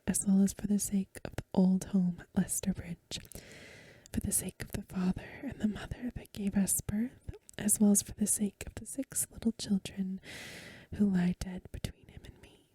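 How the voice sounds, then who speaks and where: A woman reads an old-fashioned story in an ASMR style. Her voice is a very breathy, hushed whisper with a soft, dark edge. She goes gently and without hurry, close to the mic in a dry, echo-free space. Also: medium pitch. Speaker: ASMR reader. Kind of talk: soft-spoken ASMR reading of a Victorian story.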